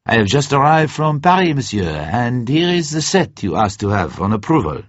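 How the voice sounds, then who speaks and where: A man performs a jovial French secret agent from a spy novel, full of conspiratorial relish. The delivery is highly animated and flourishing, with words savoured one by one. The sound is dry and a little dull. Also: moderate pace, no room sound. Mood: brisk, businesslike.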